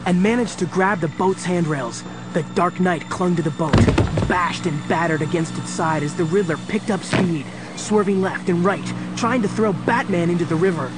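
A man as suspenseful superhero-story narrator, slightly high. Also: normal speed, hardly any pauses, lightly acted. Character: dramatic male storyteller of a superhero adventure. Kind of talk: scripted dramatic narration. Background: dramatic music.

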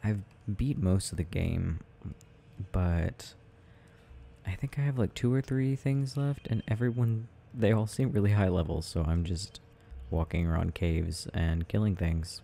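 A man playing a tender, doting boyfriend in an intimate, rambling ASMR roleplay, murmuring softly and affectionately with gentle teasing. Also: low voice, measured pace, vocal fry, a little hiss or hum. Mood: relaxed, gently rambling. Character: tender, doting boyfriend soothing his sleepy partner at night.

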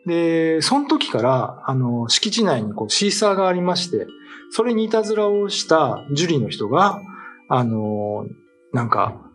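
A man's voice: on the high side, very animated, unhurried, a bit bright. A middle-aged man explaining local lore in casual talk show conversation. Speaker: writer and co-host telling local ghost lore. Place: small meeting room, indoors. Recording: music playing behind the voice. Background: background music.

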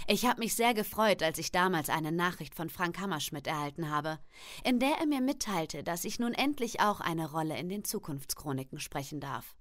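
A woman's voice: on the low side, lively intonation, normal speed, no room sound, clean studio sound. A woman who acts in audio dramas, speaking a thank-you message. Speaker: voice actor.

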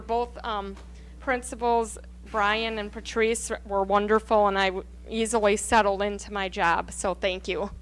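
A woman's voice: fairly high pitch, fairly bright tone, clear, resonant tone, rough recording. A woman in her thirties from the Midwest introducing herself as a reading teacher, chatty with small slips, on a handheld mic. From Wisconsin. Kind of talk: self-introduction at a meeting. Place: school board meeting room.

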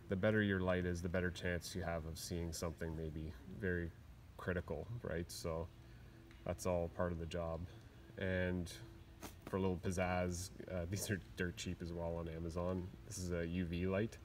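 A man's voice: low voice, slight background noise. A man who works as an aircraft mechanic, chatting casually and rambling a little as he shows his tools at a workshop bench. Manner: casual, rambling, plain-spoken with fillers. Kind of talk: casual tool show-and-tell. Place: workshop at a steel workbench.

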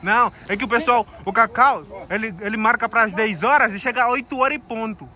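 A man's voice: very high voice, a little fast, bright, forceful tone. A man from Angola vlogging outdoors on a ski slope into a handheld camera, laughing often and cheering his friend on. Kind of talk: casual vlog chat.

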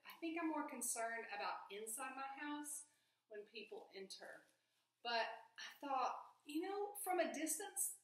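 A woman's voice: a little high, fairly bright tone. Chatty woman in an echoey room. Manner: bubbly, chatty, thinks aloud. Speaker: home and garden vlogger. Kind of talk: casual chatty vlog to camera. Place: indoors in a small room, and on a front porch. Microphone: camera or webcam at close range.

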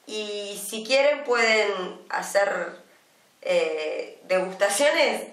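A woman in her fifties with an Argentine accent, co-hosting a casual studio chat show and talking chattily with many drawn-out pauses. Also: medium pitch, lively intonation, a bit bright, far from the mic. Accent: Argentine Spanish. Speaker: co-host. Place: small TV studio, indoors. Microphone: desk microphone, some distance away. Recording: roomy studio sound from off-mic.

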